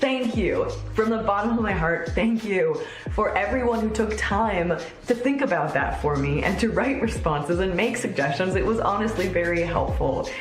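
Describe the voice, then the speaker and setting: A woman's voice: mid-range voice, animated, normal speed, soft background music. Woman, 30s, vlog host; candid, enthusiastic, a bit congested; echoey room. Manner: chatty, candid, warmly enthusiastic. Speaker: vlogger host. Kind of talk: casual personal vlog.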